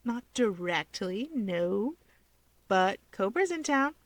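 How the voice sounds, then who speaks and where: A woman voicing a perky young female wizard in a story reading, playful, quick-witted and encouraging. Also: mid-range voice, very animated, no room sound. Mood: probing. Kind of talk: fan fiction character reading.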